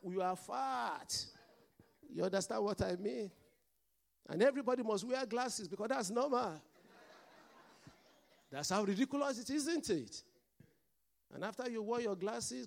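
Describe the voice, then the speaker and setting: A man's voice: sits high, gravelly. A man with a mixed Nigerian and Scottish accent, preaching a sermon as pastor and calling out to the congregation for responses.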